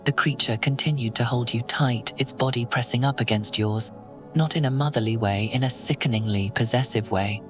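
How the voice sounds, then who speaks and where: A narrator telling a horror story in second person, slow and ominous. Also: mid-range voice, soft background music.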